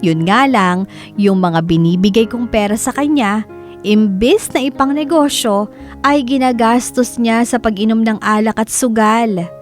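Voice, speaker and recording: A woman's voice: fairly high pitch, a little slow, soft background music, natural voice. A young woman playing a sorrowful daughter, narrating her family life in a confiding, reflective Filipino-accented voice. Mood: sad, reflective. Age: young adult. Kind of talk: first-person scripted drama narration.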